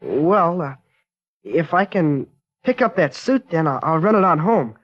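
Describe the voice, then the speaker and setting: An eager, polite teenage boy with a high, youthful voice. He is keen to help. The recording is dull and lo-fi, like an old radio broadcast. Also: sing-song, a little slow. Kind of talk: 1940s radio acting.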